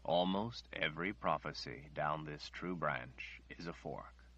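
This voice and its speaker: A man's voice: rumbling low, unhurried, a bit bright, voice pushed down, heavily acted. A man voicing an older male prophet, solemn, sad and unbending. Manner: grave and solemn. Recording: dull lo-fi recording.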